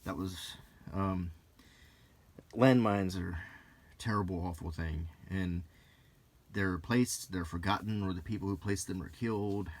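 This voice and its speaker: A man giving unscripted, ASMR-style commentary as he browses, in a musing, halting way with pauses. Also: low voice, fairly even intonation, dry recording. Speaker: video host reviewing an old magazine.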